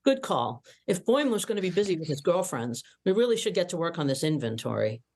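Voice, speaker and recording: A woman playing a brash, teasing young starship officer with a blunt manner in an animated sci-fi comedy table read. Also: lowish voice, brisk.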